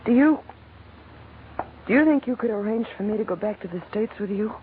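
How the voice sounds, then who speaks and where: An actress in a 1940s radio drama playing a weary, wistful young nightclub woman who speaks haltingly. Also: mid-range voice, lively intonation, lightly acted. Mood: hopeful. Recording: muffled, noisy old radio broadcast recording.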